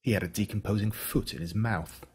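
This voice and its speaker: An Australian man reading a first-person story in a dramatic, suspenseful way, slowing down on emphatic words. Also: lowish voice, darkish tone, breathy edge. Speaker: audiobook narrator.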